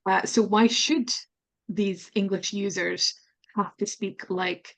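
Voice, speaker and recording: A woman's voice: mid-range voice, steady tone, takes it slowly. A Scottish woman answering interview questions as a pronunciation specialist, candid and earnest, laughing at herself now and then, on an online call. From Scotland.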